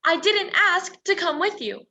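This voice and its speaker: A woman's voice: very high voice, big pitch swings, a little slow, sharp tone, heavily acted. A woman acting in a radio play as a prim, protesting young woman, a defiant and indignant reluctant captive. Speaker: student stage actor. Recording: dull, lo-fi virtual recording.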